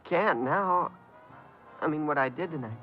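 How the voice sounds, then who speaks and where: An actor playing a frightened, remorseful fifteen-year-old runaway boy in a 1950s radio crime drama, speaking haltingly. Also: on the high side, dull audio, soft background music, lightly acted. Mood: ashamed, upset. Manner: hesitant, halting, stammering.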